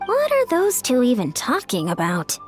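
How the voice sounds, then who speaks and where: A bright, high-pitched young woman with a bouncy, swooping delivery, outspoken and quick to toss in a blunt remark. She sounds eager and animated in a game scene. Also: faint music behind. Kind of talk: video game voice acting.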